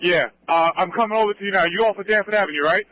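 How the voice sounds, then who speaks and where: A man speaks quickly over a police two-way radio, his voice bright and fairly high. He is clipped and commanding, coordinating units like an officer in the field. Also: no room sound, poor audio. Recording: police scanner radio transmission.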